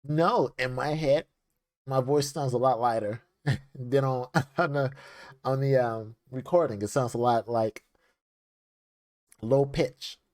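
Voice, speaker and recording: A man's voice: mid-range voice, slow, long pauses, darkish tone, dry recording, clean studio sound. A young man chatting casually into a desk microphone as he reacts to a video, rambling with lots of fillers.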